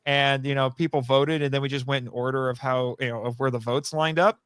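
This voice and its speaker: A man's voice: mid-range voice, steady tone, fairly quick, fairly bright tone, clear, resonant tone, no room sound. A man speaking as a podcast guest in a casual, rambling, jokey way, plugging his own projects with some self-deprecation. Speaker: podcast guest, writer and audio drama voice actor.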